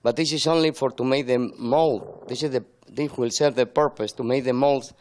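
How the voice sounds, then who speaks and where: An older man with a Spanish accent speaks as an interviewed artist, laying out how his work is done. His voice sits at an ordinary male pitch. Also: normal speed, darkish tone, slight background noise. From Spain, born in Andalusia, grew up in Madrid. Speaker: sculptor being interviewed.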